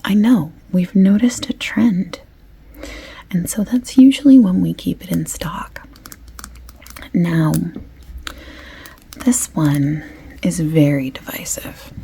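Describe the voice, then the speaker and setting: Soft, creaky woman in whispery ASMR. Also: on the low side, a little hiss or hum. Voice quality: soft, breathy, often dropping to a whisper. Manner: unhurried, chatty, overexplaining. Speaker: ASMR creator playing a perfume shop assistant. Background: light clicking and tapping of items.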